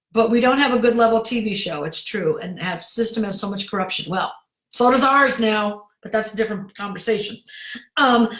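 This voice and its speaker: A middle-aged woman coach gives blunt, no-nonsense advice to viewers in a casual live Q&A. Her voice sits at a medium pitch, and she talks in a roomy space with noticeable echo. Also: fairly bright tone. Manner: direct, brisk, reads out viewer comments. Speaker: acting career coach. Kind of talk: live Q&A answering viewer questions.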